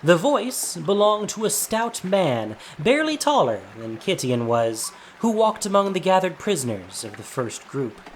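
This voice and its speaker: Animated audio drama narrator. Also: mid-range voice, slight background noise. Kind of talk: scripted dramatic story narration. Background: soft music.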